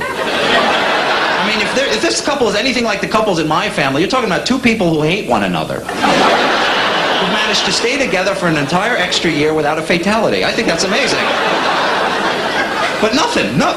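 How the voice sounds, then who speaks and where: A man with a New York accent performing wry, conversational stand-up comedy on stage to a live audience. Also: high-pitched. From New York, USA. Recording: echoey live club, loud audience laughter and noise. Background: audience laughter, crowd noise.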